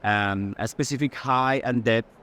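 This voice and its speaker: Halting male gallerist explaining artwork. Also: mid-range voice, a bit bright. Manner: halting, pausing often to find words. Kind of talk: informal live explanation. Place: art fair booth.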